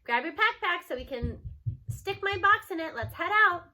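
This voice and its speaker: A woman voicing a feisty, bossy, adventurous grandmother who teases her grandson with brisk, playful commands. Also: very high-pitched, lively intonation, pushed and bright, pitched above the performer's own voice, full character voice. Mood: brisk, eager.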